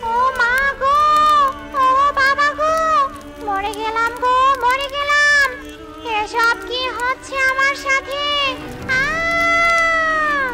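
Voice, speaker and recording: A woman's voice: extremely high pitch, fairly even intonation, over music, heavily acted. A woman voicing a gloating, menacing child-eating witch in a cartoon. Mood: wailing in pain. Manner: menacing, drawn-out exclamations and wailing. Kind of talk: Bengali cartoon voice acting.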